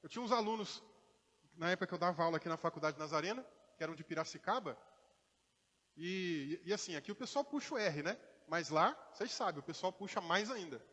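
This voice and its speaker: A man's voice: sits high, expressive, fast talker, a bit bright, in a large hall. A man from Brazil with a mixed Minas-tinged Brazilian Portuguese accent, preaching a conversational sermon in a church with acted quotes and asides. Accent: mixed Brazilian Portuguese, partly Minas Gerais. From Brazil, born in Rio, raised in inland Minas Gerais. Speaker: pastor.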